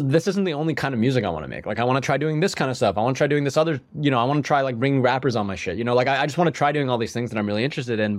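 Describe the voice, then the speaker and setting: A young man talks fast on a close, dry mic, sounding a little sniffly as he gets over a cold. He rambles casually, piling on "like" and restarting his sentences. His pitch sits in the middle. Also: no room sound. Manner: rambling, heavy use of "like", frequent restarts. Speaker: musician guest. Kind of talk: casual podcast conversation.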